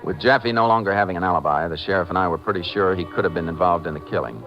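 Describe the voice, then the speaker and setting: An actor playing a calm, shrewd, steely Texas Ranger in a 1950s radio drama, terse and matter-of-fact as he acts and narrates. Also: low-pitched, fast talker, close to an everyday voice. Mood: matter-of-fact narration. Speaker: lead actor and first-person narrator. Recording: muffled old 1950s radio recording, music behind.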